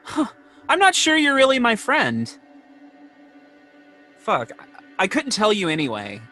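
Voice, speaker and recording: Casting: man to play an anxious, guarded male lead, hesitant and natural, clear-edged bright tone, music in the mix. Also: sits high, wildly swooping pitch, full character voice. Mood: defensive. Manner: hesitant, halting, self-conscious. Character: anxious, defensive man confiding about his panic. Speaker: podcast host playing himself in audio drama. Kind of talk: naturalistic conversational audio drama acting.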